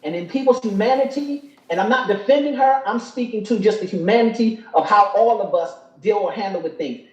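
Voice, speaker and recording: A man's voice: high-pitched, brisk, a little mellow, reverberant, lo-fi sound. A man in his fifties on a live talk show, making emphatic points and tagging them with "okay?". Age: 50s. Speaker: guest.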